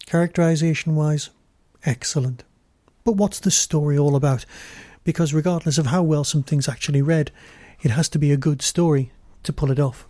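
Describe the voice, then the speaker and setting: A man hosts a review podcast with prepared commentary. His voice is a little high yet mellow, recorded close and dry. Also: dry recording. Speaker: podcast host.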